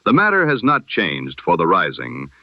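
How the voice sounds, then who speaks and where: Low formal 1920s-style radio newsman. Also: wildly swooping pitch, dry recording. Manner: formal, measured, rhetorical delivery. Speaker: newsreader. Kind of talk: 1920s radio bulletin narration. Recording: dull lo-fi radio-style sound.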